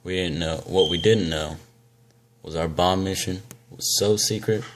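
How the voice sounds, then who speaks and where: A man performing a film monologue as a grim, weathered old sailor, telling his story deliberately with pauses. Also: low voice, a little hiss or hum. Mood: grim, haunted. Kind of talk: dramatic film monologue recitation.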